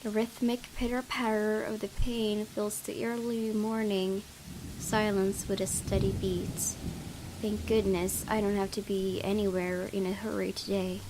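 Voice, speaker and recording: A woman's voice: medium pitch, level tone, unhurried. A woman hosting a gaming video, reading visual novel narration aloud with casual asides. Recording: clear background noise.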